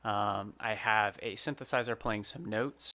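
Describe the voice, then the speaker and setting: A man's voice: fairly low pitch, fairly even intonation, measured pace, very noisy. A man who works as a sound designer, giving an informal, plain-spoken tutorial. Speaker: sound designer presenting his project.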